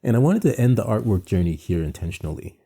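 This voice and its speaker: A man's voice: on the low side, big pitch swings, fast talker, creaky, warm tone, breathy edge. A man from Jamaica hosting an art presentation, talking in a conversational, reflective and earnest way with frequent asides. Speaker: art show host and founder.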